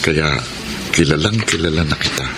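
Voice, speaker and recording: A man's voice: extremely low pitch, moderate pace, noisy background. A man acting a devoted, persistent suitor in a Filipino radio romance drama, tender and earnest. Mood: tender, wooing. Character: devoted, persistent male suitor, sincere and devout. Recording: loud music under the dialogue.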